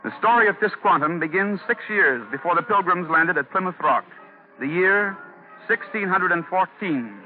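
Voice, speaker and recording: A man's voice: high-pitched, animated, normal speed, music in the background. A man reading formal, oratorical scripted narration in 1940s radio style. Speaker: historical advisor, narrator. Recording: tinny 1940s radio recording.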